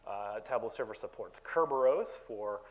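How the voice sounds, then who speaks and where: Guy presenting at a tech conference, loud voice, lots of uh and um and restarts, explainy. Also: on the low side, expressive, moderate pace, rough recording. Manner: explanatory, many fillers and restarts. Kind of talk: technical conference talk. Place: conference session room.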